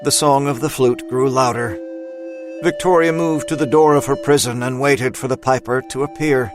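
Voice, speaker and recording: A man's voice: mid-range voice, steady tone, normal speed, over music. A man narrating a scripted audio drama in a dramatic storytelling style.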